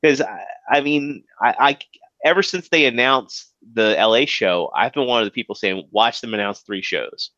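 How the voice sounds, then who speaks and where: A man's voice: medium pitch, moderate pace, bright, forceful tone, no echo, lo-fi sound. A man with a Maryland accent co-hosting a podcast, rambling casually with lots of fillers and restarts. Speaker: podcast co-host. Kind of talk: casual chat.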